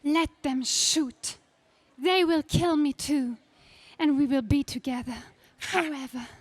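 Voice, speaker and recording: An actress playing a devoted, melodramatic damsel in love, highly emotional, in a space serial radio drama. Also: female voice, slow, no echo. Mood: anguished love.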